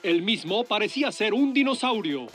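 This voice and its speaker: A man voicing a brisk news announcer reading a bulletin in a children's cartoon. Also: sits high, expressive, a bit bright. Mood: urgent. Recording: music behind the voice.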